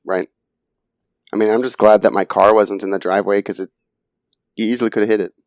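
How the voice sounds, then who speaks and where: Chill guy on a podcast, lowish voice, kinda dry sound, rambly story with lots of ums. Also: fairly even intonation, fairly quick, darkish tone, no room sound. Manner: laid-back storytelling with swearing and fillers. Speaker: podcast co-host. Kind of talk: casual chat.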